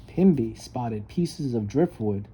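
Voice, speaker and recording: A man reading a children's story aloud with expressive, emphatic narration. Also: medium pitch, takes it slowly, husky. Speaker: storybook reader.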